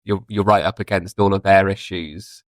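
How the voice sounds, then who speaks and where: A British man speaks in a fairly low voice as a guest on a podcast. He explains his thoughts reflectively and informally, in a dry, close recording. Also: steady tone, normal speed, no room sound. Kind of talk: casual discussion.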